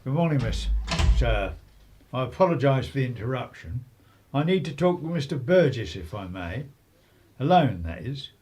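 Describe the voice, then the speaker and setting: An actor playing a dutiful, deadpan detective constable in a radio play, formal and deferential, with a husky voice. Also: male voice, mid-range voice, normal speed, slight background noise, natural voice. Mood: polite, official. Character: stolid, dutiful male police detective constable, politely unbudging.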